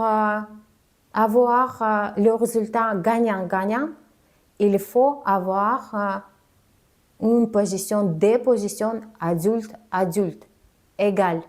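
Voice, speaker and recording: A woman coach giving spoken advice on confident speaking, halting and careful as she talks in a language that is not her first. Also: mid-range voice, darkish tone. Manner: careful, halting delivery of a non-native speaker. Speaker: communication coach.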